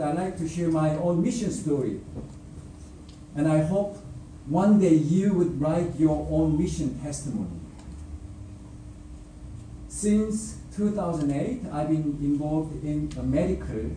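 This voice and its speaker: A man preaching a sermon and telling a personal mission story, speaking deliberately with pauses and filler words. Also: a little high, dark tone, far from the mic, slight background noise. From raised by a North Korean father. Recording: echoey hall, speaker away from the mic.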